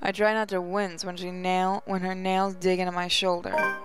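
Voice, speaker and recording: Woman narrating over soft music. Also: on the low side, flat delivery, moderate pace, smooth. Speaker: gaming channel host. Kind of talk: expressive first-person story narration, reading a visual novel aloud.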